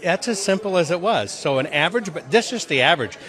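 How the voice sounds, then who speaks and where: A man's voice: a little high, expressive, quick pace, fairly bright tone. A man giving conversational interview answers, explaining things in an earnest, emphatic way. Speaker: interview guest, audit presenter.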